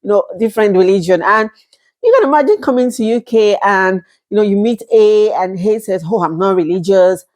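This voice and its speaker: A woman's voice: medium pitch, lively intonation, fairly quick, a little mellow. A woman in her thirties from Nigeria with a Nigerian accent, talking casually to camera, chatty, laughing often and acting out little quoted exchanges. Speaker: vlogger.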